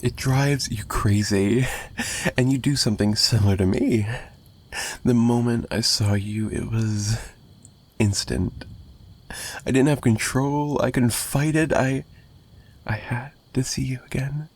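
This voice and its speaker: Lovesick mafia kidnapper, played by a male actor: husky, intimate ASMR delivery, menacing yet pleading, halting. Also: medium pitch, steady tone. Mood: yearning, obsessive. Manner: halting, repeats himself, drifting into breathy whispers. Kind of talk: ASMR roleplay, intimate monologue to listener.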